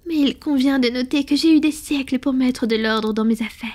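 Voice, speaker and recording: Femme jouant une vampire séculaire à voix haute et soufflée. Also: female voice, high-pitched, lightly acted. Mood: wistful, confessional. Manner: soft, breathy, confiding, measured. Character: centuries-old female vampire, powerful, tender, confiding, melancholic. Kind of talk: scripted intimate roleplay audio, soft-spoken with whispering.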